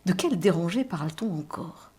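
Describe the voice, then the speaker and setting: A woman's voice: on the low side, lively intonation, moderate pace, a little mellow, breathy edge. A woman hosting a radio show, asking questions conversationally and often adding short acknowledgements.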